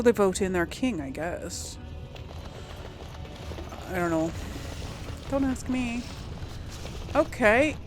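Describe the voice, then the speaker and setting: A woman hosting a casual video game let's play, rambling and musing to herself as she goes. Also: a little high. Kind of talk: casual game commentary, thinking aloud. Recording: faint background noise.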